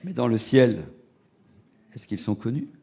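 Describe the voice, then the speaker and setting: A middle-aged man from Portugal, preaching a sermon and telling his own testimony, rising to loud emphatic peaks and dropping to hushed low asides. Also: lively intonation, a little slow. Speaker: evangelist preacher.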